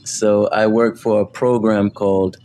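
A man speaking as a guest on a podcast, talking humbly about his work with many pauses and fillers. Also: fairly low pitch, flat delivery, warm tone, a little hiss or hum. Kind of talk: casual interview.

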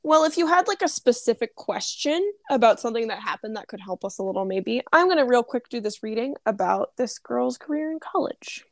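A woman's voice: fairly high pitch, expressive, fairly quick, few pauses, no room sound, studio quality. A woman co-hosting a casual live audio chat as a tarot reader, chatty and giggly. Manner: chatty, giggly, self-conscious.

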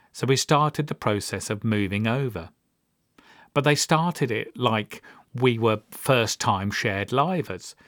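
A man's voice: medium pitch, fairly bright tone, no room sound. A middle-aged British man telling personal stories as a podcast host, with a dry, wry, understated manner. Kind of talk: personal storytelling monologue.

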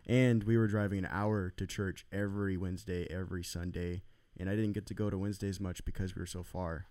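Deep flat-voiced guy, smooth tone, rambles with lots of ums. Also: brisk. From Arlington, Texas. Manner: rambling, hesitant, many fillers. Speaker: church production volunteer. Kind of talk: casual podcast chat.

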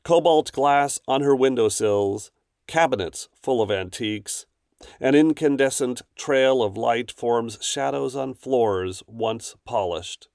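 Slow, gravelly man reading aloud. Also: medium pitch, a little mellow, dry recording, clean studio sound. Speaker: poetry podcast host. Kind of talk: scripted introduction and poetry reading.

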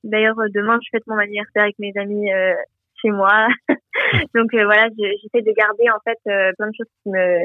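A woman's voice: mid-range voice, level tone, a little fast, dry recording. A teenage girl from southern France, a guest in a radio interview, talking cheerfully with many hesitations and restarts over the phone. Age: teens. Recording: tinny phone line.

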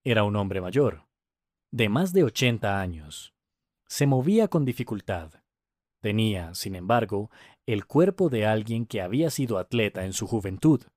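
A man narrating a scripted story for language learners, with careful, deliberate enunciation. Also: fairly low pitch, sing-song, a little mellow, no echo, clean studio sound.

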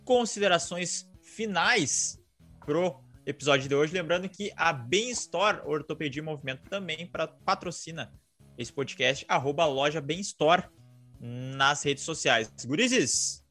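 Man, unhurried. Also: fairly high pitch, expressive, sharp tone, soft background music. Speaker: podcast co-host. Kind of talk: casual podcast chat.

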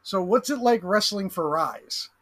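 A man's voice: sits high, expressive, a little slow, a bit bright. A man of thirty-one hosting a podcast, laid-back, chiming in with easy joking asides. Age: 30s. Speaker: podcast host. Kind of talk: casual podcast chat.

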